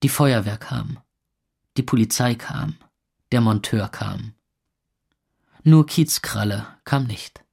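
An actor playing a jaded young club owner in a German radio play, also narrating in the first person. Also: male voice, mid-range voice, slow pace, creaky, pauses often, a little mellow, slightly rough, heavily acted. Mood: reflective. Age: young adult. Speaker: lead character and narrator.

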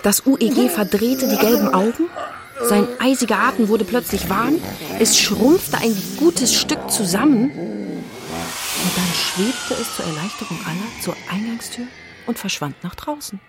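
A woman's voice: a little high, a little slow, over loud music. A woman narrating a scripted children's story in a dramatic, suspenseful way.

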